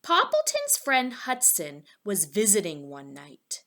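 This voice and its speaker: A woman's voice: medium pitch, huge pitch swings, slow, pushed and bright. A woman teacher reading a children's storybook aloud, expressively, with asides spoken directly to her young listeners.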